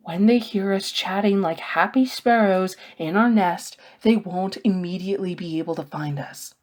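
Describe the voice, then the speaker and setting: A woman's voice: mid-range voice, normal speed, a little mellow, natural voice. A woman voicing a wry, sardonic young male thief in a storybook, with a dry, sarcastic manner. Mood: irritated, hushed.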